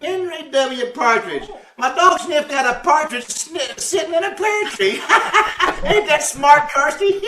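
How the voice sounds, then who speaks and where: Folksy bumbling braggart husband, man actor, high bright voice, radio comedy, roomy video-call sound. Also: lively intonation, normal speed, recorded from a distance, a little hiss or hum. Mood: giddy, proud. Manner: blustering, stammering, folksy slang. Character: folksy boastful bumbling husband, tall-tale teller. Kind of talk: old-time radio comedy acting. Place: live video call performance.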